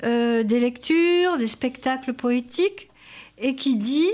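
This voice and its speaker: A woman co-presenting a talk show on regional literature. She moves through a list of names at an unhurried pace, with frequent hesitations. Her voice sits a little high. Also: a little mellow. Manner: measured, listing names with hesitations. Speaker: radio co-presenter.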